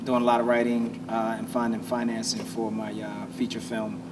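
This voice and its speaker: Even-toned American man, noisy distant recording. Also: on the low side, normal speed. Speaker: actor-director being interviewed. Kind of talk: casual interview chat. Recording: distant mic in noisy room.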